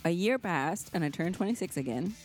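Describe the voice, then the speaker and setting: A woman with a slightly low-pitched voice banters casually as co-host of a live stream. She is wry and playful, joking as she goes. Music can be heard along with her. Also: expressive, fairly quick, a little mellow.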